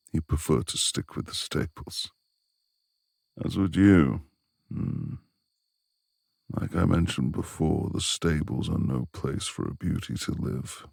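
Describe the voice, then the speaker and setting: A man playing a gruff, reclusive lord of the manor in a romantic ASMR audio roleplay, with gravelly, formal, imperious speech and a tender streak. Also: low-pitched, darkish tone, no echo, studio quality, heavily acted. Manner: formal old-fashioned diction, imperious.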